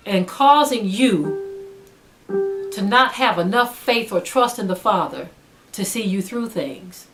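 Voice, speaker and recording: A woman's voice: mid-range voice, rough recording. A woman speaking as a religious teacher in a sermon style, earnest and emphatic, urging listeners with repeated phrases. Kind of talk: sermon-like spoken exhortation.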